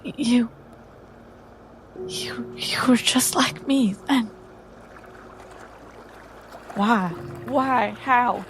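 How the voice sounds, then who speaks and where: A woman voicing an anguished human girl in a tabletop roleplay, halting and tearful, demanding answers. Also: on the high side, a little hiss or hum, voice pushed up. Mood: anguished, bewildered. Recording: music behind the voice.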